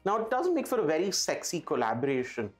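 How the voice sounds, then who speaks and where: A man's voice: fairly high pitch, very animated, quick pace. A man with an Indian accent presents a product to camera in an enthusiastic, emphatic way. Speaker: presenter for an audio retailer. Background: background music.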